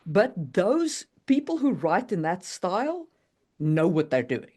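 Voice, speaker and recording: Female podcast co-host, lowish-to-medium voice with very lively pitch movement, informal and conversational. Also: a little slow. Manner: animated, seeks agreement with "you know what I mean". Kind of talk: casual chat about writing.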